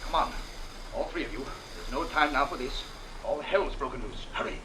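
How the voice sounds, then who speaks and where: An actor playing a commanding, desperate wealthy patriarch in old radio drama style, imperiously giving orders. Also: male voice, medium pitch, lively intonation, normal speed, natural voice. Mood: urgent. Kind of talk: 1940s radio drama acting. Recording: echoey, distant, noisy old radio broadcast.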